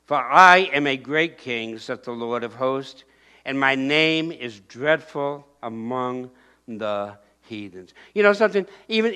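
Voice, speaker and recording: An older man preaching a sermon in a church, moving about and raising his voice in bursts. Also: medium pitch. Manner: animated preaching, shouting acted-out crowd voices and pointing. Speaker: pastor. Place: church sanctuary.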